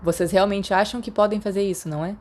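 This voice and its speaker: A woman's voice: mid-range voice, fairly even intonation, fast talker, darkish tone, natural voice. A woman voicing a guarded, anxious teenage schoolboy in an audiobook, blunt and sceptical. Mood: worried, pleading. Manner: blunt, wary. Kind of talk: audiobook character dialogue.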